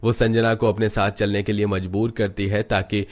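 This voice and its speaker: A man's voice: fairly low pitch, little pitch movement, normal speed. A man reading scripted narration for a moral cartoon story.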